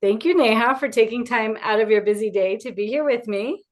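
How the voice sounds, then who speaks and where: A woman's voice: on the high side, a little fast. A middle-aged woman speaking as a podcast host, introducing the show and interviewing a guest. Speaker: podcast host, wellness coach.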